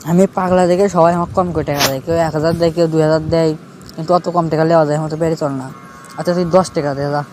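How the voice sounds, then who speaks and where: A man's voice: fairly high pitch, level tone, racing, close to an everyday voice. A man playing a cranky, haggling village madman uncle in a comic cartoon, quarrelsome and repetitive, with a Bogura accent from northern Bangladesh. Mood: demanding. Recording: noisy recording with clear background noise.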